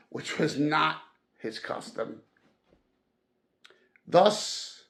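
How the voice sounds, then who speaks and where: A man reading a classic story aloud as a narrator, with deliberate, dramatic phrasing and emphatic stresses. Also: medium pitch, creaky. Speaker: audiobook-style reader.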